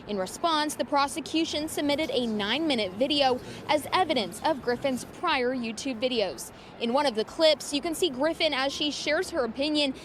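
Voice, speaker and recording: Female TV reporter, high voice, scripted field report, slight outdoor traffic noise. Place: outdoors outside a courthouse.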